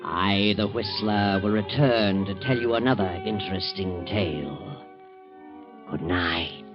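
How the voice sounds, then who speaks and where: A low, sinister radio mystery narrator. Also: male voice, a little slow. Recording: dull old 1940s radio, music behind.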